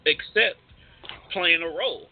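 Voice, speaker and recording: A man co-hosts a casual radio talk through a narrow, tinny phone-like connection. His voice sits a little high for a man. He talks bluntly, with plenty of slang and swearing. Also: measured pace, a little hiss or hum. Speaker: radio show co-host. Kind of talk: casual panel discussion. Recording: tinny, band-limited phone-line sound.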